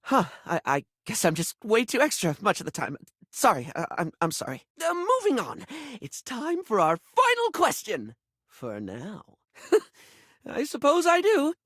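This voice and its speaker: A camp, gushing male video game character who lives for cosmetics. His pitch swoops dramatically through every phrase, recorded close and dry. He is effusive yet insecure, stumbling into apologies and self-deprecation. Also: huge pitch swings, a bit bright, dry recording, exaggerated voice. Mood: chatty, playful. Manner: effusive, camp, halting and self-deprecating, calls listeners darling. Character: flamboyant, scatterbrained, apologetic male makeup object, gushing and insecure.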